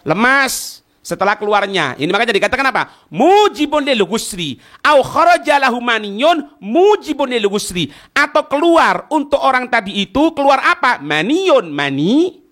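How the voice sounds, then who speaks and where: Male religious instructor, very high bright voice, explains phrase by phrase with rhetorical questions. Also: sing-song, normal speed. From East Java, Indonesia. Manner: didactic, repeats phrases, asks rhetorical questions. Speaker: Islamic religious teacher. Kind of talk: religious lesson explaining a text line by line.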